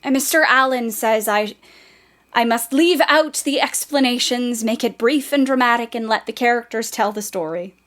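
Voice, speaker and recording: An actress playing a spirited, excitable and ambitious young sister in an audio drama, with an emphatic, theatrical delivery. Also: female voice, a little high, normal speed, lightly acted. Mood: earnest, determined.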